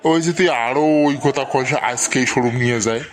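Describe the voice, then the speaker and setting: A man's voice: mid-range voice, quick pace, natural voice. A man voicing a pleading, exasperated village father in a comedy cartoon, with a North Bengal accent. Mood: placating. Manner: coaxing, exasperated.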